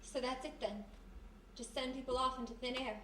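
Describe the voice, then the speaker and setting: Plucky young girl Friday played by a woman: highish, bright, blunt, defiant; live radio mystery, echoey room. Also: steady tone, normal speed. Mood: defiant, wry. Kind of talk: live 1940s-style radio mystery acting. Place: live performance in a historic house room. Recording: echoey distant live recording, faint noise.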